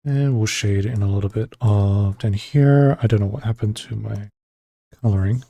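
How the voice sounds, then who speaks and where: Casting note: laid-back male streamer, lowish voice, dry close sound, easy rambling chat. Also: creaky, no room sound. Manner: relaxed, rambling, talks to chat between pauses. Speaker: streamer drawing live. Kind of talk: casual chat while drawing.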